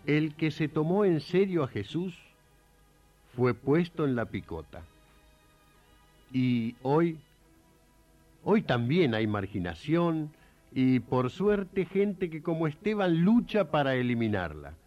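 A man's voice: a little high, sing-song, slow, pauses often, lo-fi sound, faint music behind. A man, a radio host delivering scripted reflective narration.

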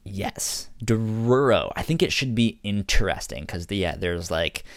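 A man co-hosting a podcast in casual chat, stuttering on syllables and words in an animated, halting way. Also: lowish voice, no echo, studio quality. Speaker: podcast co-host.

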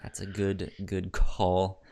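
A man co-hosting a casual film discussion, talking haltingly and often repeating words as he gets his thoughts out. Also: low voice, completely flat delivery, creaky, mellow. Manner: halting, repeats words and stammers over starts. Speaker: podcast co-host.

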